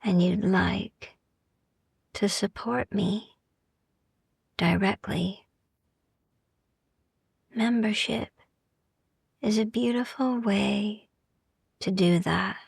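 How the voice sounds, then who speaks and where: Very slow, hushed breathy woman, near whisper. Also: medium pitch, no room sound, studio quality. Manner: slow, gentle, soothing, with long pauses. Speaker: sleep meditation podcast host. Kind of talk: soft ASMR-style guided sleep meditation.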